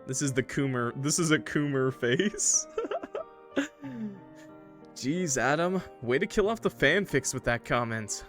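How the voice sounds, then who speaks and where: A man streaming a visual novel, switching between dramatic narration and animated, jokey, sweary commentary. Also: medium pitch, measured pace, long pauses, soft background music.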